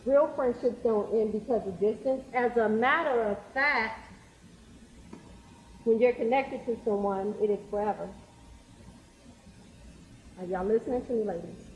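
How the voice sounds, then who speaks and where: A woman playing a caring but firm high school coach, giving emphatic advice in a stage play. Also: a little slow, long pauses, dull audio, lightly acted. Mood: firm, advising. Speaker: stage actor. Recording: very echoey, noticeable background noise.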